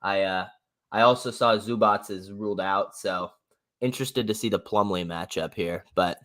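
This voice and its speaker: Raspy young dude, low voice, laid back, talking bets on a stream. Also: male voice, normal speed, fairly bright tone. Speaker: sports betting stream co-host. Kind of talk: casual livestream chat.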